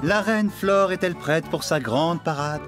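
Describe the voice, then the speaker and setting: An actor voicing an excitable, bossy but kindly farmhand in a French children's cartoon dub. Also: male voice, a little high, a little slow, over music, close to an everyday voice. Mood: excited, eager. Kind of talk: animated children's cartoon dubbing.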